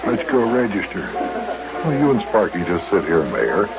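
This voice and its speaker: A man playing a steady, kindly grown-up who calmly guides his companions in a children's radio serial. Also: deep voice, moderate pace. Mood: reassuring, calm. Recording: muffled old radio recording with music.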